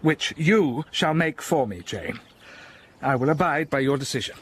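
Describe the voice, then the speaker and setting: An actor playing a blind, scarred older gentleman in a radio drama, speaking with intense, impassioned feeling. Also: fairly high pitch, lively intonation, a little slow, slight background noise. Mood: tender.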